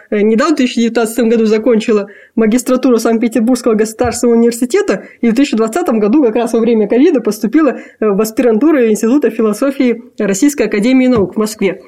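Young woman from kazakhstan, kinda higher voice, warm, lots of umms and pauses. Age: young adult. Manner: hesitant, many fillers and pauses. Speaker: interviewee, PhD student. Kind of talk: casual conversation.

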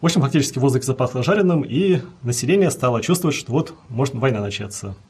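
A man's voice: mid-range voice, lively intonation, quick pace, darkish tone, husky. A man answering as a history guest, explaining at length in a lecturing way with many fillers.

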